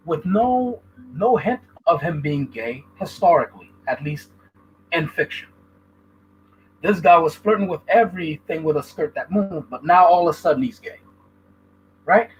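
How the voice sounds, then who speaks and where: A man from Haiti hosting a streamed talk show. He argues his opinions emphatically, and his pitch swings widely and sits a bit high. Faint noise hums under the call. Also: highs cut off. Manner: emphatic, rhetorical, stops to ask "right?". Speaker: channel host. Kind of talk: opinionated commentary on a livestream.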